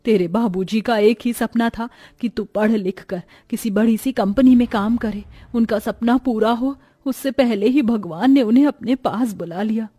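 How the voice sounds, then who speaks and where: A woman's voice: fairly high pitch, normal speed, few pauses, dark tone, husky. A woman voicing a weary, self-sacrificing poor mother in a cartoon moral story, earnest and plaintive. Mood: stern, emotional.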